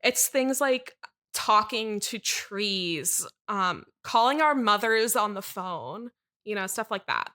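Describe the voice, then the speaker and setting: Casting: actress for a quirky, earnest dream girl in improvised podcast comedy; bright, forward voice, whimsical and totally sincere. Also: mid-range voice, lively intonation, takes it slowly. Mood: matter-of-fact. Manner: airy non sequiturs stated with complete sincerity. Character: whimsical, earnest manic pixie dream girl, a young woman. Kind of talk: improvised comedy mock interview.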